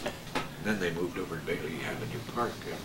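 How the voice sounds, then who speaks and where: Deep-voiced older man in a roomy, noisy recording. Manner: halting, hesitant storytelling. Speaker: veteran local radio broadcaster. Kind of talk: casual reminiscing, anecdote telling. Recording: roomy, distant mic, noisy background.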